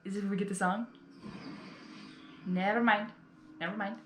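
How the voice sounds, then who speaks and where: A woman giving casual reaction commentary as a video host, with expressive interjections. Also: mid-range voice, normal speed. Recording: roomy sound with faint noise.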